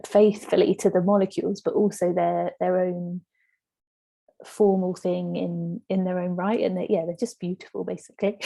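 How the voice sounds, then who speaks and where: A woman hosting an online book launch in an informal, effusive and somewhat halting way. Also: medium pitch, vocal fry, dark tone. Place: online event, on a video call.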